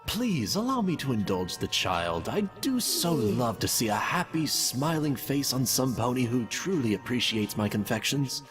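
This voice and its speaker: A man's voice: mid-range voice, big pitch swings, normal speed, hardly any pauses, a bit bright, faint music behind. A man voicing a sinister, falsely courteous confectioner pony with a genteel, theatrical and menacing manner in an audio story. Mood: silky, indulgent.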